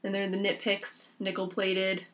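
A young woman hosting a knitting podcast from home, chatting casually to her laptop with lots of ums, her voice a little raspy from a lingering cough. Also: mid-range voice, completely flat delivery, a little slow, a bit bright. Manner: chatty and rambling, with many ums. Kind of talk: casual chat to camera. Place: small room at home, indoors. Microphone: laptop camera mic. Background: rain outside.

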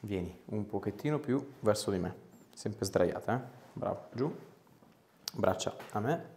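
A man, reassuring and informal as he gives a patient gentle instructions. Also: fairly low pitch, lively intonation, measured pace, slight background noise. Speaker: chiropractor. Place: small clinic treatment room. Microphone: clip-on lapel mic.

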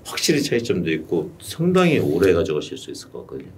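A man's voice: low voice, wildly swooping pitch, fast, a little mellow. A middle-aged man coaching a client one-on-one in a small studio room, explaining steadily and patiently. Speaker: YouTube coach. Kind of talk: one-on-one consulting advice. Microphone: desk mic on stand, heard from a distance. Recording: distant mic with faint room noise.

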